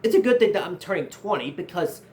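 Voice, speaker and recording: A man's voice: sits high, sing-song, quick pace, a little mellow. A nineteen-year-old man hosting his own channel, earnestly answering viewer questions and often repeating and restarting his phrases. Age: 19, nearly 20. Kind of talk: casual Q&A vlog.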